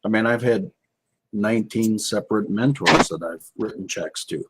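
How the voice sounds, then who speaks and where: A man co-hosting a casual business chat with a husky, rough-edged voice, piecing his sentences together haltingly. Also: lowish voice, low-quality recording. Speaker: co-host and business speaker.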